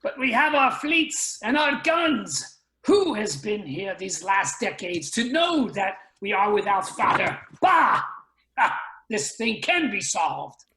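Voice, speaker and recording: A woman's voice: mid-range voice, very animated, takes it slowly, pushed and bright, echoey room, full character voice. A woman playing a blustering, indignant official in a dramatic science fiction reading, with a declamatory, theatrical manner. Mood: scornful, belligerent. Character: blustering, indignant, scowling futuristic government official named Smit. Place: online video call reading.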